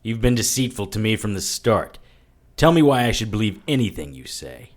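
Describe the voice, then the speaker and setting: Actor as a grim occult investigator, very deep voice, reverberant with noise. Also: male voice, very animated, moderate pace. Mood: stern. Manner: terse, serious. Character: world-weary occult detective man. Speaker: narrator.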